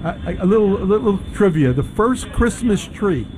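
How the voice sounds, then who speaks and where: A man chatting outdoors as an interview guest, agreeable and halting, often repeating his words. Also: high-pitched, animated, noisy background. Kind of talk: casual outdoor interview chat. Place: outdoors on a city avenue at a public event.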